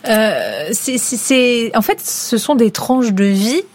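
A woman's voice: a little high, very animated, slow pace. A woman hosting a call-in radio show, chatting with callers and prompting them with questions. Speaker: radio host.

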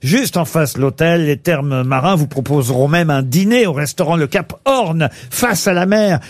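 High-voiced teasing French radio host. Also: male voice, lively intonation, no echo. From Normandy, France. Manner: teasing, quick, laughing often. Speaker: radio game show host. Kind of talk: lively radio banter with callers.

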